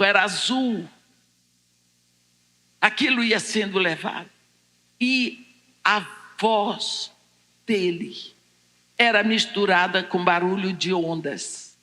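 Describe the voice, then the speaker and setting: An older Brazilian woman giving a personal testimony as a preacher, telling it deliberately and dramatically in short phrases. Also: medium pitch, pushed and bright. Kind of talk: personal testimony told to a congregation. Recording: echoing large hall, long reverb.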